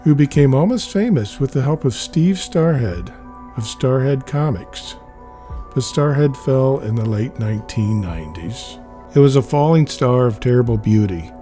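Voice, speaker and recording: Guy reading out a wry memoir comic, dark mellow voice, faint music under him. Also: mid-range voice, expressive, normal speed. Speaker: reader narrating a comic aloud. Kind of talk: scripted narration, reading a memoir-style comic.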